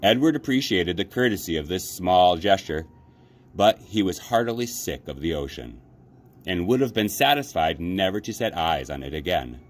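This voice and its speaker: A man reading a children's novel aloud as a library storyteller, with measured, dramatic phrasing. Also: low-pitched, very animated. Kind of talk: children's audiobook-style read-aloud. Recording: clear background noise.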